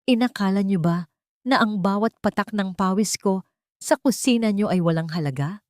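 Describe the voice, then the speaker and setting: A woman narrator playing a wronged young mother in an audio love story, cold, bitter and deliberate. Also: medium pitch, a little mellow, dry recording, clean studio sound, close to an everyday voice.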